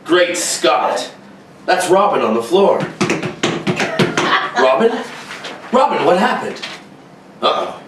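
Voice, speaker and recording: A man's voice: fairly high pitch, very animated, takes it slowly, long pauses, recorded from a distance. A man playing an earnest, heroic Superman in a radio play, exclaiming in a declamatory way. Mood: alarmed, urgent. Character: earnest heroic Superman rescuing his young friend. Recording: echoey room from afar, loud noise.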